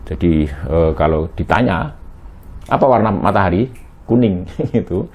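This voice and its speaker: Deep-voiced middle-aged guy lecturing, lots of ums and tag questions. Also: lively intonation, a little mellow, slightly rough. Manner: halting, many fillers and tag questions. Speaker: astrophysics lecturer. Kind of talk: recorded university lecture.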